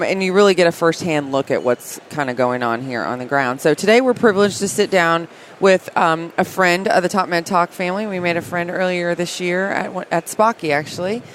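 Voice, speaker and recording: A woman's voice: sits low, a little hiss or hum. A woman co-hosting a casual interview in a chatty, informal way. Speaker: podcast co-host.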